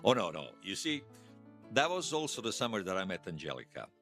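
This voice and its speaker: A man acting the part of a wistful, wry old hero in his seventies, reminiscing haltingly in a radio drama interview. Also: fairly low pitch, very animated, fairly bright tone, soft background music, heavily acted. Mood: nostalgic, wry. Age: 70s. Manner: halting, reflective, self-deprecating. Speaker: interview guest.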